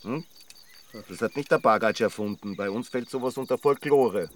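A man's voice: mid-range voice, moderate pace, far from the mic, slight background noise, close to an everyday voice. A man acting a sardonic Austrian villager in a radio drama, gossiping in a dry, knowing way. He sounds a little off the mic, with faint night noises around him. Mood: sardonic, amused.

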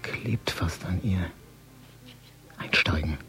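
Cynical crook, deep voice, clipped muttering, watchful, radio play monologue, prominent music underneath. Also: male voice, slow, sharp tone, heavily acted. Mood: tense, suspicious. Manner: clipped muttered phrases, often dropping to a whisper. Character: tense, cynical male Moscow gangster watching a handover. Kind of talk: radio drama interior monologue.

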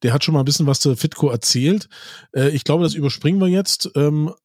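A man's voice: fairly high pitch, brisk, a little mellow, slightly rough, dry recording, clean studio sound. A man hosting a podcast interview, talking with his guests over a remote connection and setting up his questions plainly. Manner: conversational, sets up questions plainly. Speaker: podcast host. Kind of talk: casual interview chat. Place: remote recording, virtual studio.

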